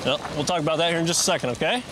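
A male police officer talking plainly and barking slow loud commands at a night traffic stop. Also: a little high. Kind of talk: field commands and casual police talk. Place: outdoors at night on a roadside. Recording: noisy outdoor field audio.

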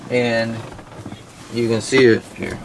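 A man's voice: medium pitch, flat delivery, slow pace, background noise. A man in his thirties, a sailing vlog host, casually thinking aloud as he works through an engine problem inside his boat's cabin. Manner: thinking aloud while troubleshooting. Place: cabin of a sailboat.

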